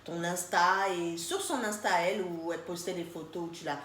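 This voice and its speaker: A woman's voice: fairly low pitch, a little fast, a bit bright. A woman hosting a casual interview show, chatting and prompting her guest with short acknowledgements. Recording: echoey room with faint background noise.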